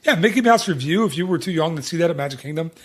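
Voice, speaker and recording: A man's voice: on the high side, expressive, rapid-fire, husky. A man hosting a podcast in casual chat, talking in a chatty, rambling way with many restarts. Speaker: podcast host.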